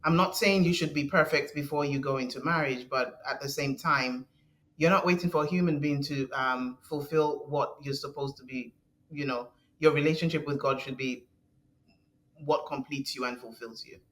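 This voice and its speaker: Woman with a deep low voice, podcast guest, earnest, halting, restarts often. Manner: earnest, halting, with frequent restarts and soft laughs. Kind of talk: conversational interview.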